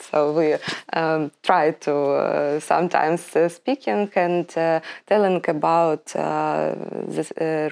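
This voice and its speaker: A woman with a Ukrainian accent answering as an interview guest in halting second-language English, searching for her words. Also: low-pitched, creaky, darkish tone. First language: Russian or Ukrainian.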